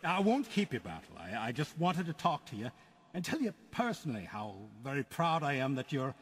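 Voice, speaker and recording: A man playing a kindly, fatherly police commissioner in a radio drama, halting and formal as he encourages. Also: on the high side, very animated, slightly rough, big-room sound. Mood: kindly, encouraging. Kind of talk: old-time radio style dramatic acting.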